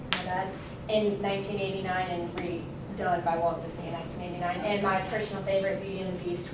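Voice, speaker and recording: A young adult woman giving an informal student speech in a classroom, casual and loosely worded, correcting herself. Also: medium pitch. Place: indoor classroom. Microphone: distant camera microphone. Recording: very echoey, noisy room, distant mic.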